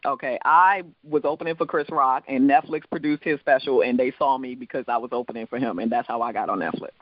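A comedian from the Virgin Islands with a mixed island, Midwestern and New York accent, chatting loosely in an interview, rambling, laughing and swearing casually. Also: male voice, medium pitch, quick pace, no room sound, highs cut off. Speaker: comedian and show producer.

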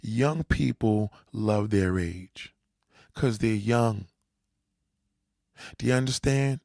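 A man's voice: lowish voice, slow, creaky, a little mellow, no echo, studio quality. A man giving blunt dating advice in a reaction commentary, lecturing and often checking whether the listener understands. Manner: lecturing, repeats "you understand?"; blunt, swears.

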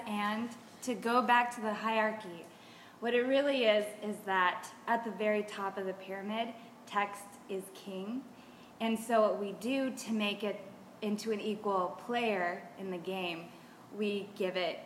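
An American woman explaining things as an interview guest, measured and with pauses. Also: mid-range voice, steady tone. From Seattle, United States. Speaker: theater artist, interview guest. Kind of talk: casual explanation. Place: artist's studio. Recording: echoey reverberant room, faint noise.

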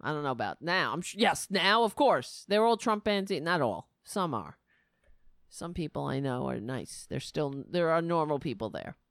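A woman's voice: low-pitched, big pitch swings, moderate pace, dry recording, clean studio sound. A woman from Staten Island, telling a rambling, profane comic story in a casual monologue and laughing at her own jokes. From Staten Island, New York.

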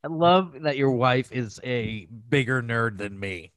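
A man in his thirties co-hosting a podcast, trading casual jokes and quick interjections. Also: mid-range voice, lively intonation, a bit bright, no echo, studio quality. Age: 30s. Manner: joking, interjecting reactions. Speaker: podcast co-host. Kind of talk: casual comedic banter.

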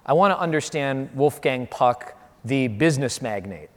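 A man interviewing on stage, with articulate, formal, measured phrasing. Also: mid-range voice, expressive. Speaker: interviewer, event moderator. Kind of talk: prepared onstage interview introduction. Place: large hall, on stage before an audience. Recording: echoey hall, long reverb.

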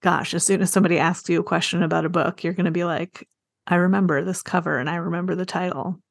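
A woman's voice: fairly low pitch, fairly even intonation, fast, gravelly, dry recording, studio quality. An American woman hosting a casual podcast interview in a chatty, enthusiastic way. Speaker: podcast host.

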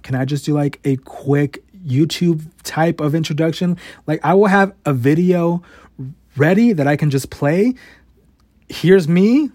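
A man's voice: a little high, a little mellow, no echo. A man in his late twenties talking casually on a podcast, stuttering often, restarting words and swearing. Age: 20s. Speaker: podcast host. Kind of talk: casual confessional monologue.

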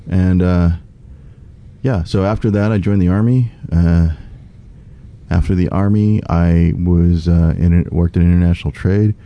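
Deep, gravelly-voiced man. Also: fairly even intonation, unhurried, dull audio. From United States. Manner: earnest, with frequent fillers and restarts. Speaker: political candidate guest. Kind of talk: conversational interview.

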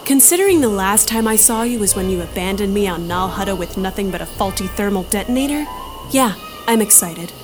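A woman's voice: mid-range voice, animated, brisk, music in the background, natural voice. A woman playing a tough female space smuggler in an audio drama, with a sardonic, casual manner. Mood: sarcastic, bitter.

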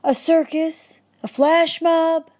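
A woman's voice: very high-pitched, level tone, slow, a little mellow, pitched above the performer's own voice. A woman voicing an eager, naive husband in a storybook tale, asking hopeful questions.

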